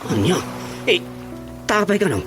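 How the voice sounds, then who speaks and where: An actor playing an exclamatory, stammering Filipino husband and drinking buddy in an Ilocano radio comedy drama. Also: male voice, sits high, big pitch swings, unhurried, over music. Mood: rattled, pleading.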